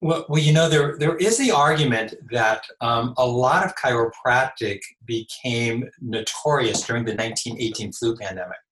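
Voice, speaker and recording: A man in his sixties with a slightly raspy voice, a chiropractor giving measured explanatory answers in an interview. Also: medium pitch, a bit bright. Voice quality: a little raspy. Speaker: chiropractor guest. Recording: echoey room.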